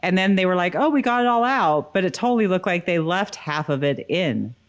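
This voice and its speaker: A woman's voice: on the low side, lively intonation, brisk. A woman co-hosting a podcast, moving between casual chat and reading a written recap. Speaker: podcast co-host.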